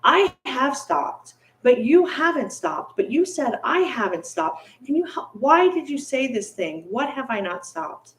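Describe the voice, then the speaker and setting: A woman's voice: high-pitched, sing-song, a little slow, few pauses, recorded from a distance, voice pushed up. Woman voicing a bewildered male bandit. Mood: pleading. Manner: puzzled, pleading questions. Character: feared adult male bandit, bewildered and frantic. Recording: echoey room.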